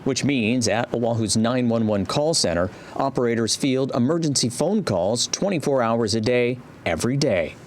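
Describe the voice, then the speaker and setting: A man's voice: mid-range voice, expressive, normal speed, few pauses, darkish tone, a little hiss or hum. A man with an American accent reading scripted narration for a TV news report.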